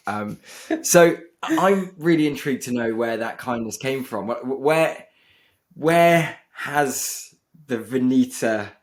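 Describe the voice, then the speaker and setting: Male podcast host, casual, hesitant delivery full of restarts, mid-range voice. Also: medium pitch. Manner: hesitant, many restarts and fillers.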